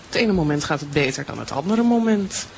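A woman's voice: low voice, animated, fairly quick, gravelly, a little hiss or hum. A woman playing a sober, sceptical grown daughter who works as a lawyer, matter-of-fact and teasing in a radio play. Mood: dry, frank. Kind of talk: improvised radio play dialogue.

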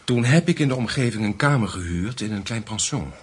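An actor playing a fawning, coy, cold-blooded assassin in a radio play, with a husky voice. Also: male voice, fairly low pitch, animated, a little fast.

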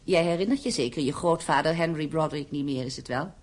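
A woman's voice: sits low, fast, darkish tone. An actress playing an affectionate older aunt in a Dutch radio play, fussing and anxious in a motherly way. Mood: fond, reminiscing.

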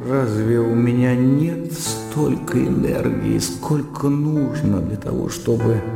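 An educated young gentleman brooding over his own thoughts in a radio play. His low, gravelly voice reasons in bookish, self-questioning phrases. It rings in a long hall reverb with music underneath. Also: slow pace. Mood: frustrated, questioning. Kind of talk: radio drama acting, interior monologue.

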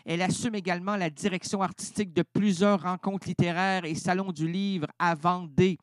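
A man's voice: high voice, steady tone, moderate pace. A middle-aged man with a Québécois French accent hosting a radio show, in theatrical and playful improvised banter that jumps between registers. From Quebec.

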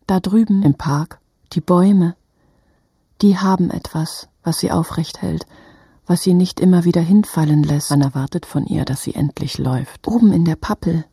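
A woman narrates a literary radio play. Her voice is very husky, dark and a little low, recorded dry. She phrases in halting fragments. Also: no room sound. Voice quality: husky, breathy.

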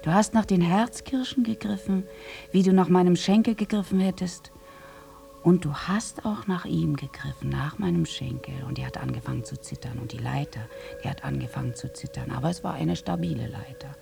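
An actress playing a war-scarred, lovestruck young prostitute in a German radio drama monologue, speaking intimately and haltingly between breathy hush and intensity. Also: female voice, low voice, soft background music. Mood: tender, hushed.

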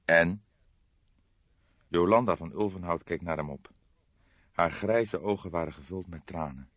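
A man's voice: low voice, normal speed, dry recording, highs cut off. A man reading a crime novel as scripted audiobook narration.

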